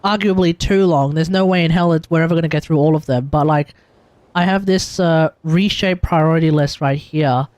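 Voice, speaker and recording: An Australian man doing casual livestream commentary, blunt and slangy, rambling as he thinks out loud with emphatic turns. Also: fairly high pitch, steady tone, moderate pace, no echo. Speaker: livestreamer, gaming content creator. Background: music in the background.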